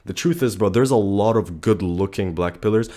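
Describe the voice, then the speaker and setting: Sick young man, low blunt voice. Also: normal speed. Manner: blunt, animated, slangy, says bro a lot. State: sick, voice deeper than usual. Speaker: dating commentary youtuber. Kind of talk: casual commentary to camera.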